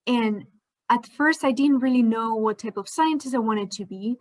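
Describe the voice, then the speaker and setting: A woman's voice: on the high side, moderate pace, darkish tone. A woman in her twenties whose first language is Spanish, narrating an educational slideshow as a PhD student, in a friendly manner with frequent fillers. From Spain.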